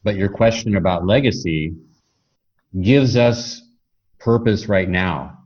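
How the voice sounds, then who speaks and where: A man's voice: lowish voice, slow, darkish tone. A man speaking as a podcast interviewer in a thoughtful, conversational style. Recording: dull lo-fi audio.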